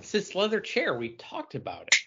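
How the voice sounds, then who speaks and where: A man's voice: high voice, huge pitch swings, moderate pace, heavy background noise. American man chatting casually, slightly muffled sound. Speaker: podcast co-host. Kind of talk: casual chat and beer review.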